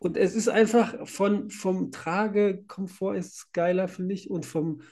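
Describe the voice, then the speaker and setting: A man with a cold chats casually into a podcast microphone. His voice sits a little high but sounds warm and mellow, and he tends to ramble and laugh along the way. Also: fairly even intonation, a little slow. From Germany. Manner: chatty, laughs often, rambling. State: has a cold. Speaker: podcast host, site manager.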